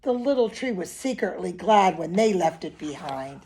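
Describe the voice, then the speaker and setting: A woman's voice: fairly low pitch, lively intonation, normal speed, a little mellow, a little hiss or hum. A woman reading a picture book aloud expressively to young children, with simple asides and questions to her listeners.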